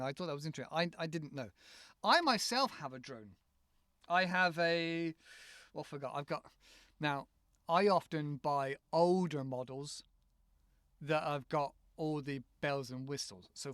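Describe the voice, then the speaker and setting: A man's voice: on the high side, fairly bright tone, smooth, dry recording, studio quality. An English man hosting an unscripted solo podcast for English learners, talking casually, rambling and correcting himself as he goes. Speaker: podcast host.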